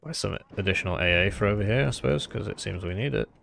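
Low, gravelly man commentating on a game. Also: lively intonation, fast talker, background noise. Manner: rambling, self-deprecating. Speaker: gamer commentating on own strategy match. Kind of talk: casual gameplay commentary.

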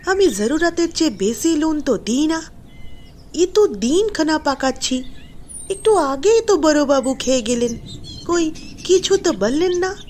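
A woman acts out a kitchen servant in an audio drama, with a high, swooping voice. She protests respectfully and defensively in mixed rustic speech. Faint noise sits behind her. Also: animated, unhurried, darkish tone. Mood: puzzled, defensive.

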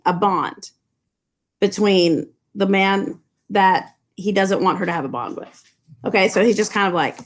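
A middle-aged woman, a high school English teacher reading a novel aloud to her class and breaking off into chatty asides full of "like" and "you know", recorded on a laptop webcam in a classroom. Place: classroom with low ceiling and fluorescent lights. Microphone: laptop webcam from below.

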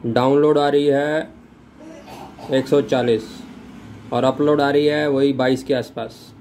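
Mellow-toned young man, insistent and blunt. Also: mid-range voice, steady tone, takes it slowly, slight background noise. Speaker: customer making a complaint call, tech vlogger. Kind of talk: phone complaint, unscripted argument. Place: room at home.

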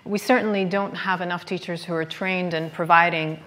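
Low-voiced woman answering conference questions. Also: fairly even intonation, a bit bright. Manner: measured, earnest, with frequent fillers. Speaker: keynote speaker. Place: large lecture hall.

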